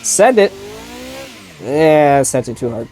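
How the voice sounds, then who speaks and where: A man with a stuffy nose from a sinus infection, giving relaxed, rambling commentary while driving a racing sim. He often restarts his sentences and blurts out short exclamations. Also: mid-range voice, slow, slight background noise. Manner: rambling and easygoing, with self-corrections and sudden exclamations while driving. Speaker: sim racing video creator. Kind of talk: casual gameplay commentary.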